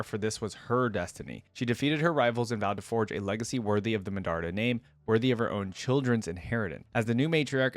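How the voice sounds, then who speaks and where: A man's voice: medium pitch, no room sound. A man hosting a reaction video, giving casual commentary and reading text aloud in a conversational way. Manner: conversational asides, thinking out loud.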